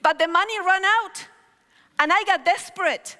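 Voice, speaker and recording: Lively woman preaching in a hall. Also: very high voice, moderate pace. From Argentina. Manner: animated storytelling with gestures, calls on the crowd. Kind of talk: sermon. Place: large hall stage with audience. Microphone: headset mic. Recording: reverberant large hall.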